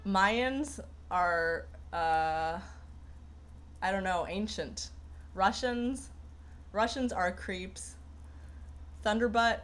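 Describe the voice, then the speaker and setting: A woman's voice: medium pitch, extremely slow, long pauses, fairly bright tone. A young woman hosting a casual livestream, rambling through viewer comments with lots of fillers and restarts. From Persian heritage. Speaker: livestream host.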